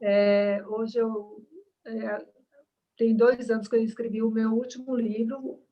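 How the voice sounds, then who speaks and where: A woman dermatologist from Salvador, speaking earnestly on a video call with reflective pauses. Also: a little high, level tone, muffled recording. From Salvador, Bahia, Brazil.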